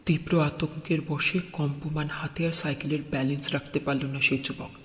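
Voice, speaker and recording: A man narrating a scripted horror story in a suspenseful, expressive, dramatic style. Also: a little high, a little fast. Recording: long cavernous reverb.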